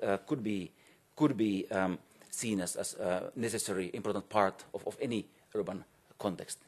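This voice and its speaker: Male academic keynote speaker, middle-aged. Lowish, rough-edged voice, hesitant delivery with repeated words. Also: a little mellow. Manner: halting and hesitant, frequent repetitions and pauses. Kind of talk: academic conference lecture. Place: indoor conference auditorium, on stage.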